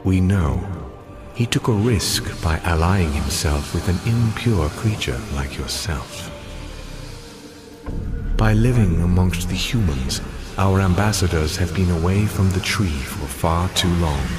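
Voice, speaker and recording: An actor playing a solemn, disdainful elf guardian in a video game, speaking in a grave, measured, condescending way. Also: male voice, deep voice, animated, music in the background. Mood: cold, stern. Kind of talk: video game character acting.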